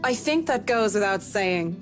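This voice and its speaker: A woman's voice: medium pitch, lively intonation, moderate pace, music in the background, lightly acted. An actress playing a blunt, wry working woman in a video game, frank and casually sardonic. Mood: guarded, polite. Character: blunt, wry female worker unafraid of her boss. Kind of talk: visual novel voice acting.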